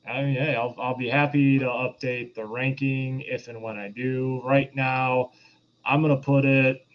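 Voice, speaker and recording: A man's voice: medium pitch, level tone, a little slow. A man co-hosting a podcast, casually reviewing in a hesitant way, repeating himself as he searches for words, on a lo-fi microphone. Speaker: podcast co-host. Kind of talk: casual chat, film review. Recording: dull lo-fi mic with faint noise.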